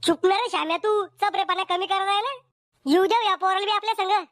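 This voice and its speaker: A cheeky, outspoken cartoon villager, with an extremely high, piping voice. The sound is dry, and the lines are comic village back-talk. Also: female voice, fairly even intonation, fairly quick, a bit bright, dry recording. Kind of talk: comedy cartoon dialogue.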